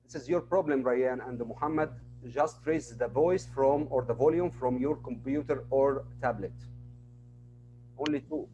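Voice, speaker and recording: A man's voice: mid-range voice, unhurried, dark tone, dull audio, slight background noise. A man teaching an online class, patiently repeating each word and example for his students.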